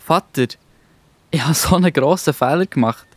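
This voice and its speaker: A man's voice: a little high, moderate pace, dry recording, lightly acted. A man playing a humble, remorseful young runaway son, pleading, in a Swiss German children's Bible radio play. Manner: despairing, pleading.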